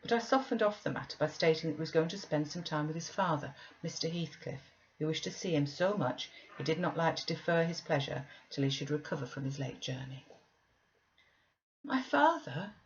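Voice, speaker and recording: Casting: female audiobook narrator for classic fiction, deep register, mildly lo-fi recording. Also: brisk, a little hiss or hum. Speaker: audiobook reader. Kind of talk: scripted literary narration.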